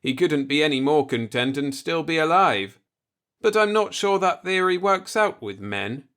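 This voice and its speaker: A man's voice: fairly high pitch, animated, normal speed, a bit bright, clean tone, voice pushed up. A man voicing a stern, distrustful young spaceship captain in a sci-fi audiobook, blunt and sardonic but earnest.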